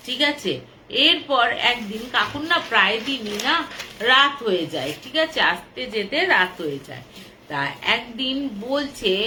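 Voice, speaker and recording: A woman with a high, bright voice tells a tale informally. She goes haltingly, circling back and repeating phrases as in conversation. There is clear noise behind her. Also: poor audio. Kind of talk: informal spoken storytelling, acting out dialogue.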